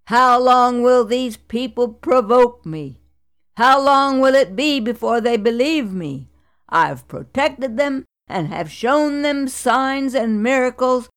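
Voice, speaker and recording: God in a children's Bible storybook, stern and solemn, speaking slowly, read by a woman in her own voice. The tone stays grave and measured. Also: on the high side, animated, pitched above the performer's own voice.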